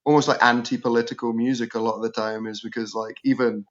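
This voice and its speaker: A young Scottish man talks through a casual podcast chat in a relaxed, rambling way, dropping swear words and stretched-out fillers. His voice sits at a middling pitch, and the sound is a little dull and lo-fi. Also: fast. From Scotland. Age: young adult. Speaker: guest musician.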